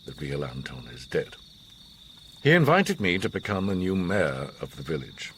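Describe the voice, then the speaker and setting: An actor playing an older, worldly and enigmatic mastermind in a radio drama, speaking in a theatrical, measured, formal and philosophical way with a husky voice. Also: male voice, very deep voice, sing-song, fairly bright tone, slight background noise, full character voice. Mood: grave, reminiscing. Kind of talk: radio drama acting, storytelling monologue.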